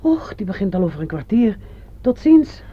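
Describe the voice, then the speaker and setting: An actress playing a nosy, suspicious landlady in a radio drama, brisk and insinuating. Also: mid-range voice, big pitch swings, husky. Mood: chatty, pressing. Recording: slightly dull radio play recording.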